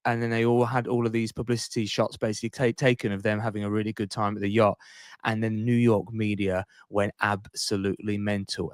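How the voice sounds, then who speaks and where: A man's voice: on the low side, no echo, studio quality. A man chatting casually as a sports podcast guest, rambling as he thinks aloud and backtracks.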